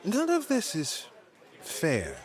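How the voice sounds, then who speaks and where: An actor playing an envious, scheming villain in an audio drama, measured and insinuating. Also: male voice, high-pitched, wildly swooping pitch, exaggerated voice.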